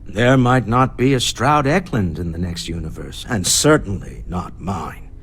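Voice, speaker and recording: A man's voice: low-pitched, expressive, a little slow, slight background noise. A man playing a suave, proud, reflective wealthy businessman in a video game. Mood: wry, rueful.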